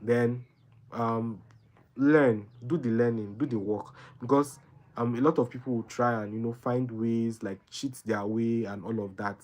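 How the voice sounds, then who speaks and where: A man's voice: medium pitch, steady tone, unhurried, darkish tone. A young Nigerian man hosting a live Q&A, giving earnest, rambling advice and often tagging his sentences with "right?". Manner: earnest, rambling, keeps adding "right?" and repeating himself. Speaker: livestream host answering viewer questions.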